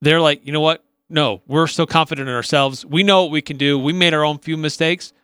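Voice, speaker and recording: An American man co-hosts a sports radio show, talking through analysis in a conversational way. His voice sits a little high. The recording is dry, with very little room sound. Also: steady tone, a little fast, pushed and bright, clear, resonant tone, no echo.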